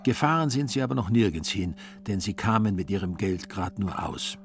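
Male narrator for a literary radio drama, low voice, scripted storytelling, light music underneath. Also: expressive, normal speed.

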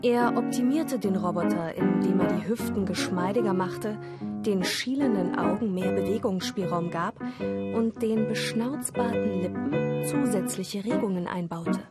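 A woman with a soft, slightly husky voice, telling a scripted radio story in a measured way. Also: mid-range voice. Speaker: narrator. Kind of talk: scripted radio play narration in German. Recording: dry studio with music underneath. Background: music.

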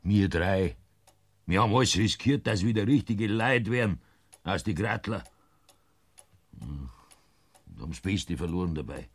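A grieving old Bavarian farmer. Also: male voice, expressive, exaggerated voice. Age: older. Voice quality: husky, deep. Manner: slow, weary, consoling. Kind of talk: classic radio play acting.

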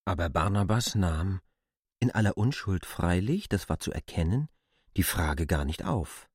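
Male storyteller for a classic novel: very low, expressive intonation, husky grain, literary narration. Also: a little slow, no room sound, studio quality.

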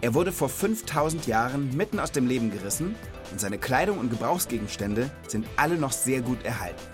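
A man's voice: mid-range voice, very animated, brisk, music in the background. A man hosting a children's knowledge podcast, chatting and explaining in a casual, enthusiastic, colloquial way.

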